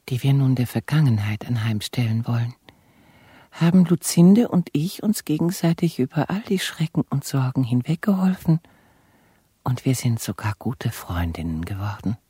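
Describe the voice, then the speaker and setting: A man in a German radio crime play voicing a pious, genteel woman's letter with a very husky voice, solemn and florid. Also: medium pitch, big pitch swings, normal speed, dark tone, dry recording, clean studio sound.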